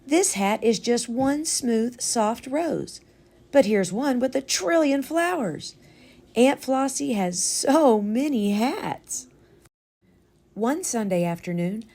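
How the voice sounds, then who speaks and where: A woman reading a children's story aloud in a deliberate, expressive storytelling manner. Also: a little high, creaky. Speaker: storytime reader.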